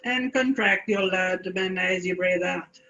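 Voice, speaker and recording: Woman, guided meditation, deliberate slow phrasing, long pauses, gravelly fry, slightly muffled lo-fi recording. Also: fairly low pitch, pushed and bright, a little hiss or hum. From South Africa.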